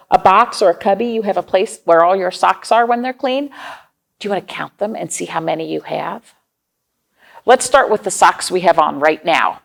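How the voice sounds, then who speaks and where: A woman with a creaky voice hosting storytime for young children, reading aloud and chatting to them in simple explanations and questions. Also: mid-range voice. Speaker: children's storytime host at a library.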